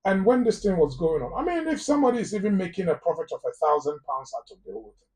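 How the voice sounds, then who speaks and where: A man's voice: high voice, very animated, fast talker, darkish tone, recorded from a distance. Emphatic Ghanaian man, a little off-mic. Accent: Ghanaian English. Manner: emphatic, repeats words for stress. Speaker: immigration lawyer guest. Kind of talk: live phone-in advice talk.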